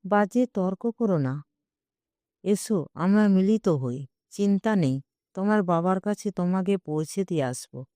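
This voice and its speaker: An arrogant male sage from an audio story, acted out by a woman. He speaks slowly and dismissively, with self-righteous authority. The tone is soft and mellow, recorded close and dry. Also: medium pitch, lively intonation, dry recording, natural voice. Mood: domineering. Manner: dismissive, imperious. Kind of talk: audio story character reading.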